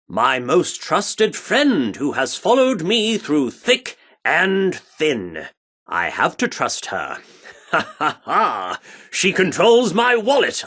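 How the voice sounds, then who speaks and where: An actor playing a pompous, flamboyant theatre troupe director in a video game, with a haughty, grandiloquent, theatrical delivery. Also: male voice, fairly high pitch, a bit bright, heavily acted. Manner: theatrical, grandiloquent, haughty, archaic turns of phrase. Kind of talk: dramatic video game character acting.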